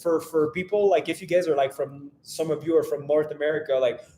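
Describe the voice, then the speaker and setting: A man's voice: a little high, fairly even intonation, a little fast, mellow, roomy sound, a little hiss or hum, rough recording. A young man from French-speaking Canada, whose first language is French, chatting casually with a French Canadian accent on a live travel hangout. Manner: chatty, lots of "like" and restarts. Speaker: travel vlogger, co-host.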